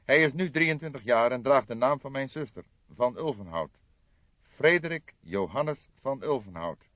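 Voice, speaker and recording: A man voicing a stern, gloomy, formal middle-aged gentleman in an audiobook, speaking solemnly and with emphasis. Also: medium pitch, expressive, moderate pace, pitched above the performer's own voice, lightly acted. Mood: solemn, emphatic. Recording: dull, roomy audiobook recording.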